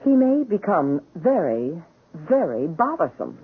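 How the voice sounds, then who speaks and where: An actress playing a cruel, haughty, seductive rich wife in a 1970s radio drama, speaking in a formal, imperious, deliberate way. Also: female voice, fairly low pitch, big pitch swings, breathy edge, exaggerated voice. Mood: coldly menacing. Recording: muffled, narrow-band old radio broadcast.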